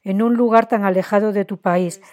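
A woman doing a voice-over interpretation, reading translated interview answers in a calm, even way. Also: mid-range voice, normal speed.

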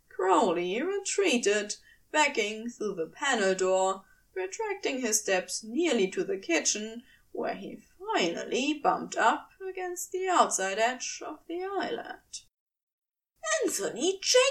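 A woman's voice: a little high, far from the mic. A woman reading fiction aloud as dramatic scripted narration. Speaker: fiction reader.

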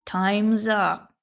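Stern warden for audio drama, an actress: very slow, curt commands, slightly muffled recording. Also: female voice, medium pitch, gravelly, full character voice. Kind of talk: audio play acting.

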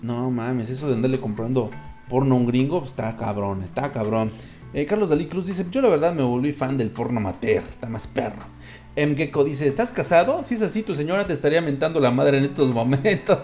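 A man with a Mexican accent hosting a casual online show, chatting and reading listeners' messages in crude, jokey slang. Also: medium pitch. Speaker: host of a live listener-call-in style online show.

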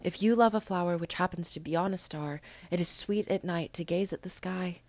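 A woman reading an audiobook, voicing a gentle, grave little boy prince who speaks softly and often in a whisper. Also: lowish voice, fairly quick, lightly acted. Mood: wistful. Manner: soft, wistful, often whispered.

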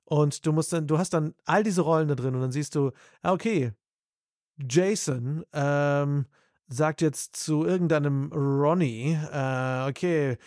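A man's voice: on the high side, a little slow, a little mellow, no room sound, studio quality. A male voice actor and dubbing director in a casual interview, speaking animatedly and thinking aloud with plenty of fillers.

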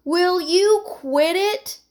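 A woman's voice: very high voice, very slow, darkish tone, voice pushed up, full character voice. Woman voicing a firm, serious storybook boy. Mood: exasperated. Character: sensible, serious boy, impatient with foolishness.

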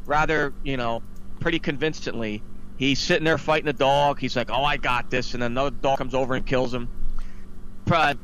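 A man's voice: medium pitch, steady tone, brisk, a bit bright, low-quality recording. A man hosting a podcast, giving a casual, opinionated and emphatic film review that turns into an exasperated rant. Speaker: podcast host. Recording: faint background noise.

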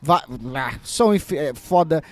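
A man's voice: on the high side, lively intonation, takes it slowly. A man hosting a casual podcast chat, restarting his sentences often as he steers the conversation. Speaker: podcast host.

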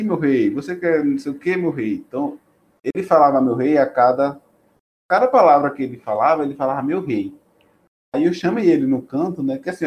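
A man with a Bahian accent tells his story in a casual, halting way, with frequent restarts. His voice sits at an ordinary pitch, and faint noise runs under the recording. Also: a little mellow. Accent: Bahian Portuguese. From Bahia, Brazil. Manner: hesitant, many restarts and fillers. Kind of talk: casual conversation.

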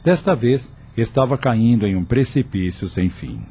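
A man's voice: medium pitch, animated, moderate pace, dark tone, husky. A man narrating a scripted radio drama as its storyteller.

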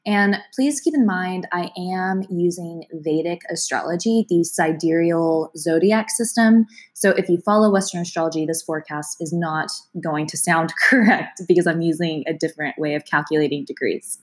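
A woman's voice: fairly low pitch, moderate pace, hardly any pauses. A woman with a cold, sounding congested, giving a casual, chatty astrology forecast to her viewers and laughing now and then. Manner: chatty, gentle, laughs between sentences. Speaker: astrology vlogger. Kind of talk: casual talk to camera.

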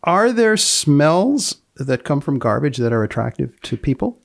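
A man hosting a research podcast, putting questions in a deliberate, deadpan way with a husky, gravelly voice. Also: a little high. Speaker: podcast host and magazine editor. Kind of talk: podcast interview about research papers.

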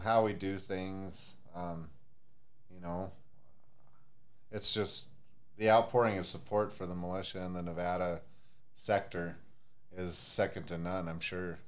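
Guest, male, low soft-spoken drawl, salty. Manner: soft-spoken, swearing, laid-back. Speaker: podcast guest, militia member. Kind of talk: casual chat.

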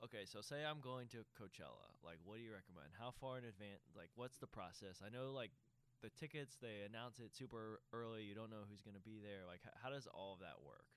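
A man's voice: lowish voice, brisk, a bit bright, clean tone. A young man co-hosting a podcast, chatty and laughing often as he questions his guest. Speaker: podcast co-host. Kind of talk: casual podcast chat.

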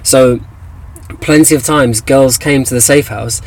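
A man's voice: medium pitch, measured pace, a little hiss or hum. A young man with an English accent, a guest in an interview, talking casually with many fillers and restarts. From England. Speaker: guest, activist.

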